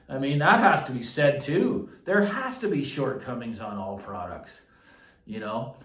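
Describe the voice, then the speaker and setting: An echoey listening room with the microphone some way off carries a man's slightly high voice. He talks casually and without a script, like a reviewer addressing his regular viewers. His delivery is enthusiastic and emphatic, often repeating a phrase to drive it home. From Canada. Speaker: audio gear reviewer. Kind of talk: casual unscripted vlog review. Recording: roomy echo, distant microphone.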